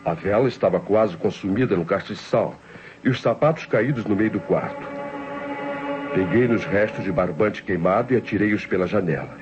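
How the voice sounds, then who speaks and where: An actor playing a scheming, nervous nephew who narrates theatrically in an old Brazilian radio drama. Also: male voice, lowish voice, moderate pace, close to an everyday voice. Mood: tense, scheming. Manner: theatrical, tense storytelling. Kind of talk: dramatic first-person radio narration, old-time acting. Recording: dull old radio recording with music.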